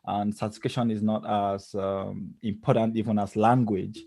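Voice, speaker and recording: A man's voice: on the low side, level tone, moderate pace, vocal fry, dark tone, no echo, studio quality. A man with a Nigerian accent answering interview questions as a podcast guest, explaining things conversationally with many "you knows". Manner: explanatory, frequent "you know".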